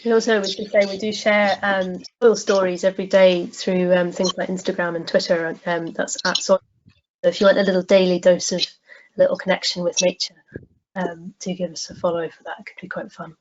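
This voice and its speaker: A woman's voice: lowish voice, little pitch movement, brisk. A woman presenting informally on an online call, friendly and inviting, with hesitant phrasing. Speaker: guest presenter. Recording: dull, noisy online call with clicks.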